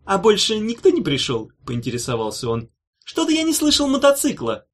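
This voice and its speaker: A man reading an audiobook, voicing a pompous, sour male demon guard with a husky voice. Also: high voice, big pitch swings, normal speed, voice pushed up, heavily acted. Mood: suspicious.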